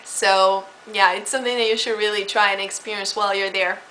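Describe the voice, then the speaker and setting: A woman's voice: medium pitch, fairly even intonation, fairly quick, sharp tone, a little hiss or hum, low-quality recording. A woman from Brazil, explaining her home country in a chatty, casual way with fillers and restarts. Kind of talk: casual spoken talk.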